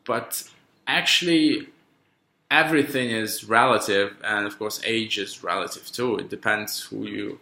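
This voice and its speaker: A man in his thirties thinks aloud in a loose, halting monologue, circling back and restarting his sentences. His voice is low and gravelly with a bright, pressed edge. The room around him rings with echo. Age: 30s. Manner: halting, musing, many restarts and fillers. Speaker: podcaster. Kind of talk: rambling solo monologue. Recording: echoey room.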